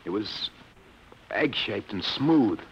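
An actor playing a shaken, insistent test pilot in an earnest, halting, urgent 1950s radio drama style. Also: male voice, fairly low pitch, animated, a little hiss or hum. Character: shaken, insistent male test rocket pilot back from space. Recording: dull vintage 1950 radio recording.

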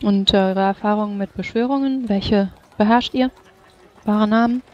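A man voicing a formal, skeptical female mage interrogator in a tabletop game, questioning in measured words. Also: high-pitched, fairly even intonation, lo-fi sound, soft background music, voice pushed up, lightly acted. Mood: probing. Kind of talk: tabletop roleplay in character.

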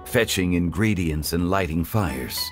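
A man voicing a calm, dignified male scholar in a game, speaking in a measured, formal way. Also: low-pitched, over music. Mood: composed.

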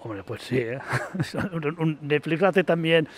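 A man's voice: a little high, expressive, slow. A middle-aged man answering a street interviewer brusquely and skeptically, standing outdoors. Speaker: passerby interviewee. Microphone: handheld furry mic.

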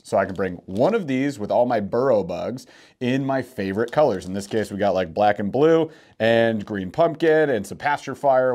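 A man's voice: medium pitch, darkish tone. A man in his thirties co-hosting a fishing channel, presenting baits in an upbeat, segment-steering way, on a lapel mic in an indoor studio. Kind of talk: casual gear-review chat.

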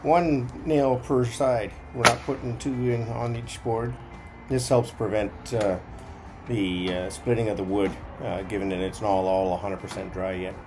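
Low-voiced man, folksy. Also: fairly even intonation, moderate pace, over music. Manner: folksy, explanatory. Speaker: vlog host and builder. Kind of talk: casual video commentary.

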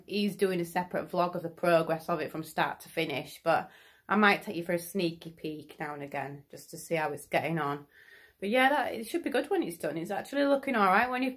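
A woman's voice: lowish voice, no echo, studio quality. A woman, chatting casually to a phone camera held at arm's length. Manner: chatty, informal, lively. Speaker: vlogger. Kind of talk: casual vlog chat. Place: home, then outdoor shop tent display.

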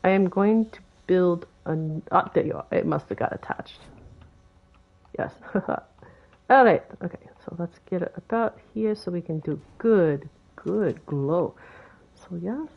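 A woman's voice: fairly low pitch, takes it slowly, gravelly, highs cut off. A woman with a Boston accent, giving rambling, chatty commentary for a game tutorial. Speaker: game video creator. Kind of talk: casual gameplay tutorial commentary.

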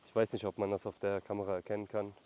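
Man vlogging outdoors on a farm, low voice. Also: level tone, fast talker, dark tone, clean tone. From Lebanon. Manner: calls out to his animals between explanations. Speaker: vlogger and shepherd. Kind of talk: casual vlog narration while herding. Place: outdoors on a rural mountain farm. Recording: faint outdoor noise.

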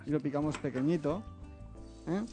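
Chef with a slight Catalan accent. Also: male voice, a little high, normal speed. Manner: explains step by step, tags phrases with "eh?". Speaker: chef guest. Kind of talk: cooking demonstration. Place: TV studio kitchen. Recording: faint background music.

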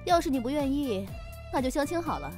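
Woman acting bossy scheming mother, high-pitched. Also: sing-song, brisk. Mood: gleefully commanding. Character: bossy, scheming mother running a club, pushing her son. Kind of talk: Chinese fan audio drama acting. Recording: music bed behind the voice.

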